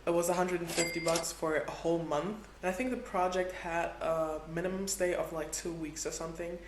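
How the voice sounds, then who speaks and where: Young male travel vlogger, high and fairly flat delivery, echoey room, faint background hum. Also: a little fast. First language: Portuguese is his second language. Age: 20s. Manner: chatty, heavy use of "like". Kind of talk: casual travel vlog.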